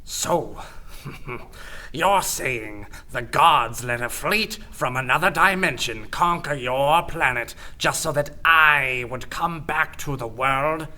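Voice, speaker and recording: A bitter alien exile voiced by an actor in a radio drama, with a very sharp, forceful edge. The delivery is measured and indignant, defensive and scornful. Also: mid-range voice. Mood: scornful, incredulous. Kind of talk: full-cast radio play acting.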